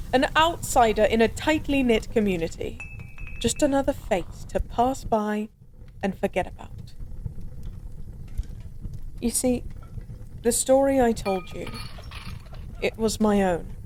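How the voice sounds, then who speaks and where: A woman performs a forgotten goddess drinking as a nameless stranger, in a slightly high feminine voice. She confides wistfully and hesitantly, rambling with weary melancholy. It is an intimate audio drama monologue with ambient bar noise behind it. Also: a little mellow. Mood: resigned, confessional. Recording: clear background ambience noise.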